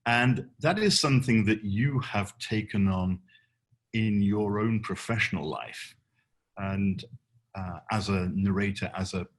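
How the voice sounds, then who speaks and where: A man hosting an interview, asking measured questions and giving brief responses. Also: on the low side, husky. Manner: measured, deliberate questions with short backchannels. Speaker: radio interviewer host.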